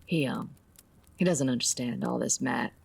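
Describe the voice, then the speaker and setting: A woman playing a gentle, worried frontier farm mother in a radio western, hesitant and subdued. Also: a bass voice, moderate pace, creaky, a little hiss or hum, exaggerated voice. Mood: weary, worried. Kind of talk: old-time radio western acting.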